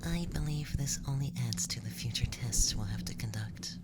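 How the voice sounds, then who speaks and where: A man voicing a calm, reassuring alien researcher in a whispered ASMR roleplay, measured and clinical with a faint menace. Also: mid-range voice, very noisy, lightly acted. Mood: clinical, curious.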